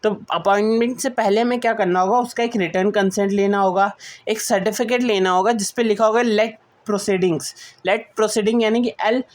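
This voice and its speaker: A woman's voice: mid-range voice, darkish tone. A woman with an Indian accent giving an explanatory lecture, mixing in English terms and rephrasing each point step by step in a didactic way. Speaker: teacher.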